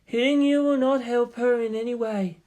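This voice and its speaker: A stern and wise alien seer acted by a narrator in a fantasy story. The voice sits high, speaking in lofty, formal, archaic phrases. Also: steady tone, moderate pace, darkish tone, lightly acted, low-quality recording. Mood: lofty reproach.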